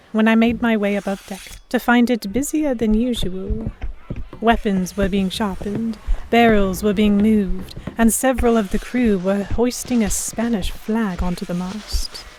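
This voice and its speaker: A woman's voice: mid-range voice, fairly even intonation, unhurried, a little mellow, a little hiss or hum, lightly acted, poor audio. A woman playing a defiant, sheltered young lady held by pirates, narrating an audio drama in first person with articulate, literary phrasing. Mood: reflective. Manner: articulate, literary, reflective storytelling.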